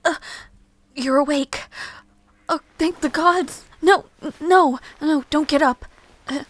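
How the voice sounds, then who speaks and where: A woman playing a caring, easily flustered young noblewoman in a fantasy audio roleplay, soft-spoken, hesitant and politely formal. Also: sits high, takes it slowly. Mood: alarmed, urgent.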